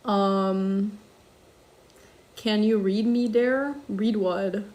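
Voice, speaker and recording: A young woman streaming live while she cooks, chatting casually and reading out viewer comments. She speaks international-school English with slight German touches and fills her talk with ums and quick apologies. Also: mid-range voice, steady tone, darkish tone. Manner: chatty, self-deprecating, frequent ums and apologies.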